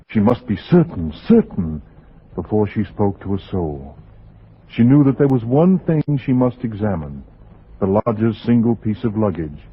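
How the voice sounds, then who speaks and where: An actor narrating a 1940s radio suspense drama in a measured, dramatic way, with weighty pauses. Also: male voice, on the low side, breathy edge. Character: grave male narrator of a suspense tale. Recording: muffled 1940s radio recording.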